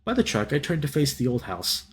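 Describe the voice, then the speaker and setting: A man reading a visual novel aloud as a let's play host, in an expressive, dramatic storytelling style with casual reactions. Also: medium pitch, a little fast, slight background noise. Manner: animated, dramatic reader.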